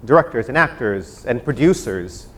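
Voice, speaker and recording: A man's voice: mid-range voice, expressive, normal speed. A man moderating a panel discussion in a conversational style, putting questions to the guests. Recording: live room with faint noise.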